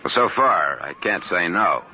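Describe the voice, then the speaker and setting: A wisecracking private eye. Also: male voice, low-pitched, expressive. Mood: wry. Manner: brash, sarcastic, fast-talking. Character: wisecracking hard-boiled private detective, male. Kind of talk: 1950s radio drama acting. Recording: muffled old radio broadcast with hiss.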